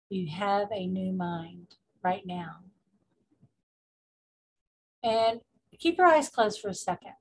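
A woman with a mid-pitched voice leads a guided meditation like a spiritual coach. She gives instructions deliberately, in short repeated phrases, leaving space between them as she moves through affirmations. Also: poor audio.

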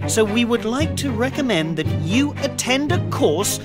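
A woman's voice: on the high side, expressive, unhurried, over loud music. An actress voicing a polite, formal woman in a cartoon. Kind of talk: cartoon voice acting.

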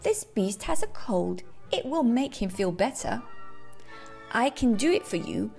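A woman voicing a kindly, practical female vet in a storybook, brisk and reassuring. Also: a little high, very animated, creaky, a little mellow, soft background music, heavily acted. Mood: helpful, brisk.

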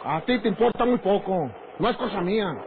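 An actor playing a bossy, short-tempered ranch man with a gruff rustic Mexican manner in an old radio drama. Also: high-pitched, animated, fairly quick. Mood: irritated, threatening. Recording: noisy, tinny old radio recording.

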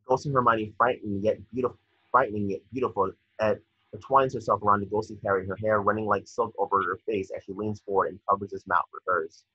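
A man's voice: lowish voice, steady tone, fast, sharp tone, husky, no echo. A young man reading screenplay stage directions as narrator over a video call. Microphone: computer mic on video call. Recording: dull, dry video-call audio.

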